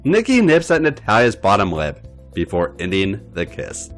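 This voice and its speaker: A man narrating a story aloud as a podcast host, with an expressive reading style. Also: on the low side, normal speed, faint music behind.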